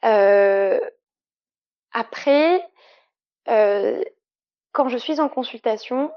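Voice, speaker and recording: Higher-voiced woman, muffled dry sound. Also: extremely slow. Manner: earnest, explanatory, frequent fillers. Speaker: sexologist guest. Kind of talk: casual interview conversation.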